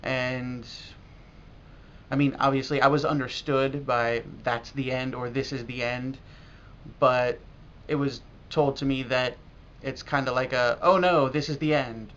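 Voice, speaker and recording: An American man talking casually and reflectively in a video blog as a language learner, halting now and then with pauses. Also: mid-range voice, fairly even intonation, a bit bright. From United States. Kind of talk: casual talk to camera about learning. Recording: dull lo-fi audio with clear noise.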